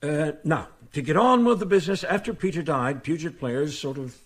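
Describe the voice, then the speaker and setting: An actor playing a brisk, sceptical theatre company leader chairing a meeting in a radio drama. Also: male voice, a little high, lightly acted. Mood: businesslike.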